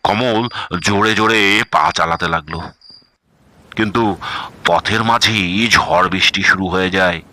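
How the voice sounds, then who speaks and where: A man with a lowish, bright and forceful voice narrates a scary story. His delivery is measured and suspenseful, full of pauses, with clear background noise of night crickets. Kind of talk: dramatic scripted horror story narration.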